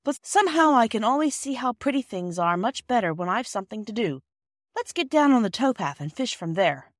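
A woman's voice: fairly high pitch, very animated, brisk, dry recording, voice pushed up. A proud schoolboy from a storybook voiced by a woman narrator. He sounds boastful and contrary, acting rather grand, in a dry, close recording.

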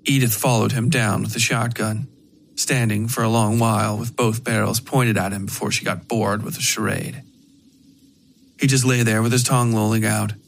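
Creaky-voiced male horror story narrator. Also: lowish voice, slight background noise. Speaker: narrator of a horror fiction podcast.

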